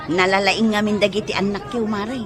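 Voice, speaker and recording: A woman's voice: mid-range voice, flat delivery, normal speed, crowd noise, natural voice. A woman playing a chatty, admiring neighbour with an Ilocano accent in a radio drama. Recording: music and street bustle behind.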